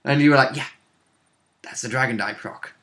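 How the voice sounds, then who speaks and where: A man chatting casually as a tabletop game player, jokey and animated, with slangy asides. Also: medium pitch, moderate pace. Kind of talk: casual chat.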